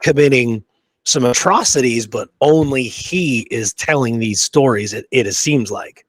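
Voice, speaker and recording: A man's voice: mid-range voice, unhurried, dry recording. A man co-hosting a history podcast, talking through the subject in a casual, conversational way. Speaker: podcast co-host.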